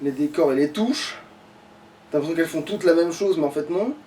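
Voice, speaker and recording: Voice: medium pitch, fairly quick, dark tone, recorded from a distance, slight background noise. A gamer giving casual, sweary gameplay commentary in an exasperated, grumbling manner. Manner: exasperated, foul-mouthed grumbling. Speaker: let's play gamer.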